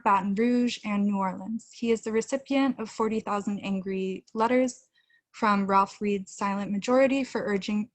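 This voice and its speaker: A woman's voice: medium pitch, no echo. Young woman reading a formal introduction. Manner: measured, formal reading of a statement. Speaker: event host. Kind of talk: read introduction. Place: home room, indoors. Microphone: video call webcam.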